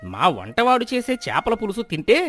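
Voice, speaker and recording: An actor playing a boastful, food-loving landlord visiting as a guest in a moral story cartoon, grand and effusive. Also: male voice, sits high, huge pitch swings, fairly quick, fairly bright tone, breathy edge, faint music behind, full character voice.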